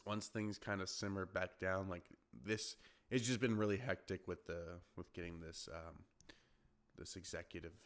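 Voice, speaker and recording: A young man with a very deep, low-pitched voice talks through a solo podcast episode. He rambles casually, stopping and restarting his sentences and filling gaps with ums and uhs. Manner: rambling, many fillers and restarts. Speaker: podcast host.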